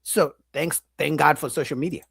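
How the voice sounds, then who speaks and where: A man with a fairly high voice gives reaction commentary, talking straight to his viewers in an animated way. The sound is close and dry, with no room echo. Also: moderate pace, creaky, husky. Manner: animated, addresses chat directly. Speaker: reaction video host.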